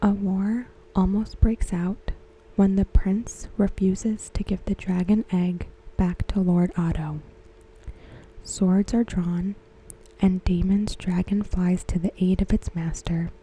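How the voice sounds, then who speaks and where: A woman speaks in a hushed, breathy whisper, very mellow and soft. She reads slowly, as an ASMR host would, with gentle, measured and carefully phrased narration. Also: mid-range voice, fairly even intonation, poor audio. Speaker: ASMR host reviewing a TV episode. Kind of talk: soft-spoken ASMR, scripted recap narration.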